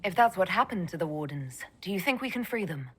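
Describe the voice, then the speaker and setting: An actress voicing a sharp, cold elf mage who leads an order and speaks curtly and with authority. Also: female voice, on the low side, expressive, breathy edge. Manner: curt, brisk, authoritative. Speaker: Inquisitor, player character.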